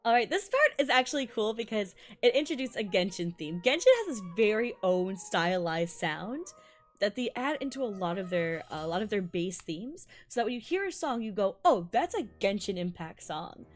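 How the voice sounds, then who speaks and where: Excitable young violinist woman, malayalam and tamil native, laughs a lot, bouncy pitch, soft music underneath. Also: moderate pace. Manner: bubbly, excitable, laughs often. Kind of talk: casual music breakdown reaction. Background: faint music playing.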